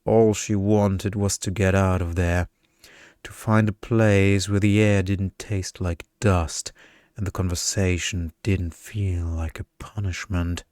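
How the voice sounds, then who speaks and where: A man reading a scripted bedtime story in ASMR style, with a hushed, seductive delivery into a close mic. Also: low voice, flat delivery, slow pace, dry recording. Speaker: story narrator.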